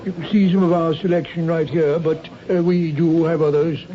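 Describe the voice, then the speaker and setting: Guy doing polite male salesclerk in old radio drama, slightly high voice, hesitant, muffled lofi sound. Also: steady tone, moderate pace, dark tone, close to an everyday voice. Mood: polite, attentive. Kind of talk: 1970s radio drama acting. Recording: dull lo-fi old radio recording.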